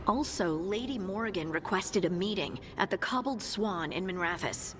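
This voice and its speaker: A woman playing a brisk, businesslike scout in a fantasy game. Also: mid-range voice, lively intonation, fairly bright tone, long reverb tail, slight background noise, natural voice. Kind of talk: dramatic video game acting.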